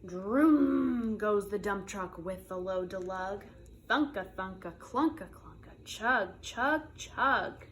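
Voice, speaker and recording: A woman preschool teacher reading a picture book aloud in a playful, expressive way, drawing out the sound words. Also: medium pitch, a little hiss or hum. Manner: animated, playful, drawn-out sound words and repetitions.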